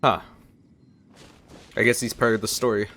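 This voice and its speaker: A man's voice: on the low side, level tone, moderate pace, fairly bright tone, a little hiss or hum. A man giving casual reaction commentary over a game as its player, thinking aloud. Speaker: gaming video creator.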